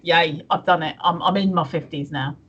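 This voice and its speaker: A woman's voice: low-pitched, steady tone, moderate pace, a bit bright, husky. A woman in her fifties co-hosting a live stream, chatting cheekily with some swearing. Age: 50s. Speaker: stream co-host. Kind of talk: casual chat. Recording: dull lo-fi stream audio.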